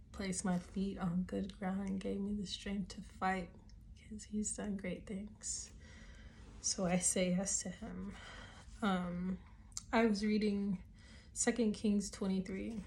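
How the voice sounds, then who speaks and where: A woman minister with a slightly raspy, deep voice, teaching a devotional message that moves from hushed to emphatic. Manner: soft, hushed delivery that builds to emphatic preaching.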